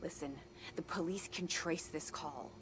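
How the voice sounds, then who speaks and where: Lower-voiced, stubborn young mother. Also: unhurried, heavy background noise. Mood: irritated, firm. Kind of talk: naturalistic video game cutscene acting. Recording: music under the voice.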